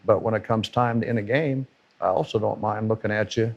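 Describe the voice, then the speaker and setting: Emphatic low-voiced middle-aged coach. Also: male voice, steady tone, fairly quick, creaky, highs cut off. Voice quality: powerful voice. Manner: emphatic, repeats words for stress. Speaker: football defensive coordinator. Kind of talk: casual podcast conversation.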